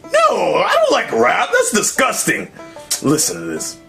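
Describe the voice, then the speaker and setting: A man's voice: extremely high pitch, wildly swooping pitch, a little slow, creaky, bright, forceful tone, faint music behind, exaggerated voice. A man doing a laid-back country-singer mushroom guy.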